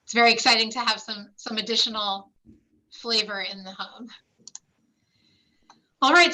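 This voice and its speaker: A woman, a clinical site director, giving a clear, explanatory presentation over a video call. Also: on the high side, pushed and bright, low-quality recording.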